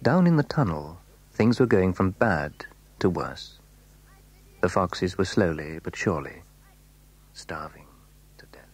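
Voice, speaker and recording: A man with a husky voice narrating a scripted children's story as its storyteller. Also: low-pitched, lively intonation, a little slow, long pauses.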